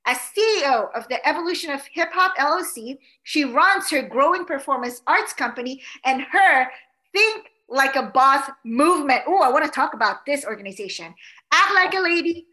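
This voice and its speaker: Woman from the Philippines, podcast host, bubbly and chatty, with a bright tone. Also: sits high, animated, normal speed, rough recording. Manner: bubbly, chatty, many fillers. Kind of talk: casual interview.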